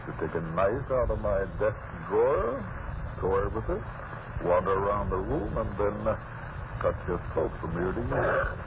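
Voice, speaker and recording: An actor playing a wealthy, scheming and uneasy bank boss in a halting, self-important way, in old radio drama style. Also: male voice, fairly low pitch, very animated. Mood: darkly musing. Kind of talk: 1940s radio drama acting. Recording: noisy, muffled old radio recording.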